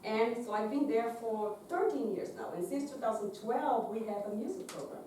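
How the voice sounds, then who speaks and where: A woman's voice: a little high, normal speed, mellow, very echoey, recorded from a distance, slight background noise, low-quality recording. A woman from Brazil with a Portuguese accent, a doctoral student giving frank panel remarks with frequent self-corrections. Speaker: doctoral student, church music director.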